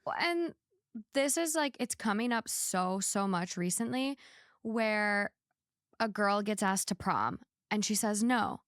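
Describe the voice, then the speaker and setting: A woman's voice: mid-range voice, expressive, takes it slowly, a bit bright, no echo, clean studio sound. A woman hosting a podcast, chatting casually and giving her opinions in an emphatic, outspoken way. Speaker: podcast host.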